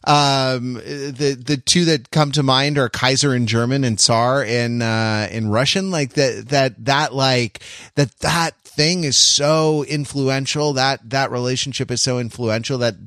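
A man co-hosting a podcast in casual discussion, halting and often interrupting himself as he works through a thought. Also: mid-range voice, a bit bright, dry recording, studio quality. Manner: rambling, halting, self-interrupting. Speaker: podcast co-host.

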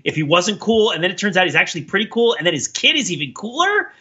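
A male podcast co-host with a forceful, fairly high voice, riffing casually and spinning mock-scholarly tangents at length. The recording is slightly dull and lo-fi. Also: sing-song, quick pace, husky. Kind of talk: casual comedic banter and riffing.

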